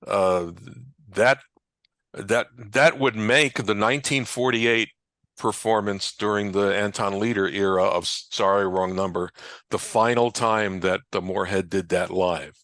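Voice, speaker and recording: A man speaking as a researcher and guest in a conversational panel discussion, explaining things in a halting way. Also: lowish voice, fairly even intonation, fairly bright tone, no room sound. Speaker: radio historian and researcher, interview guest.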